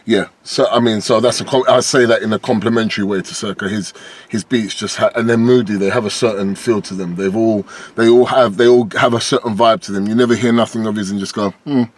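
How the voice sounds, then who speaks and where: A British man with a deep voice and a lisp, a rapper chatting loosely as an interview guest, with lots of fillers and restarts. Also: fairly quick, few pauses. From United Kingdom. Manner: relaxed, rambling, many fillers and restarts. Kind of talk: casual interview chat.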